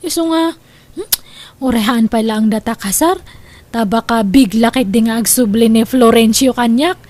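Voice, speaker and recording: A woman's voice: on the high side, moderate pace, darkish tone, no echo, lightly acted. A woman with an Ilocano accent playing a reluctant, stubborn young girlfriend in a comedy drama. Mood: wistful. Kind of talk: comedy radio-style drama acting.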